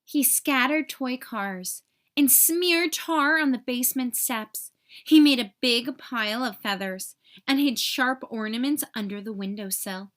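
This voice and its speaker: A woman narrating a children's story aloud in an expressive, clearly enunciated storytelling manner. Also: fairly high pitch, a little slow. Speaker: storybook reader.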